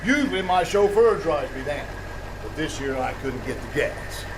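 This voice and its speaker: A man's voice: fairly high pitch, expressive, moderate pace. A man playing a pompous, wealthy, complaining passenger in a radio comedy, haughty and huffy with stammers. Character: pompous, grumbling, self-important wealthy male bus passenger. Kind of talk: old-time radio comedy acting. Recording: noisy live theater recording.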